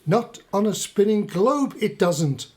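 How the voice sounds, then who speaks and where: A middle-aged British husband in a radio drama, a newly converted believer in odd theories. He explains things earnestly and pedantically, and his pitch moves around in a lively way. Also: takes it slowly. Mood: eager, argumentative. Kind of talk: radio play acting.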